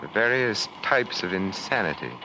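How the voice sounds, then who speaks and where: An actor playing a calm, bookish male prisoner fascinated by insanity, in 1940s radio style. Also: lowish voice, normal speed. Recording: muffled old radio drama with train noise.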